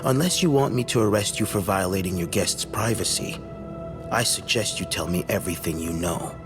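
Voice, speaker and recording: An actor playing a contemptuous, domineering police detective who talks in a condescending, accusatory way in a video game scene. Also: male voice, deep voice, moderate pace, natural voice. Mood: cold, probing. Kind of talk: dramatic video game cutscene acting. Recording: background music behind the voice.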